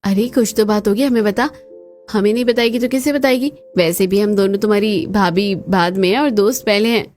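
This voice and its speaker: A woman's voice: mid-range voice, normal speed, darkish tone, faint music behind, lightly acted. A woman voicing a caring, reassuring young sister-in-law in a cartoon story. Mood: concerned.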